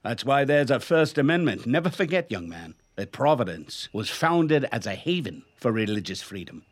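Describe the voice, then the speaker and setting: Pompous, swooping old lawyer. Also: male voice, medium pitch, sing-song, normal speed. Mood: haughty, indignant. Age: older. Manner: pompous, chuckling, theatrical phrasing. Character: aging, crafty, haughty male lawyer, eccentric old-money gentleman. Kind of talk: radio drama acting. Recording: music bed behind the voice.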